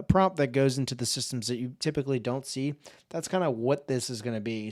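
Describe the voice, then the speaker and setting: A man hosting a casual software tutorial, talking in a relaxed, informal way and thinking aloud as he clicks through settings. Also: mid-range voice, clear, resonant tone. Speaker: tech tutorial host. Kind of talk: casual screen-share software walkthrough.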